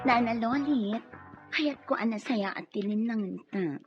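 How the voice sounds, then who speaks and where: A woman's voice: a little high, unhurried, dull audio, loud music behind the voice, lightly acted. An actress with an Ilocano Filipino accent playing a bossy, scheming lady of the house in a radio drama, with a dramatic delivery. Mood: bossy, conspiratorial. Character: bossy, scheming, hot-tempered unfaithful wife, lady of the house.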